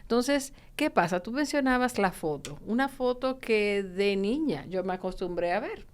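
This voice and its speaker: Woman, 60s, podcast host; smooth, resonant voice, hesitant delivery. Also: mid-range voice, lively intonation, moderate pace. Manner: hesitant, many filler sounds. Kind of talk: interviewing guests.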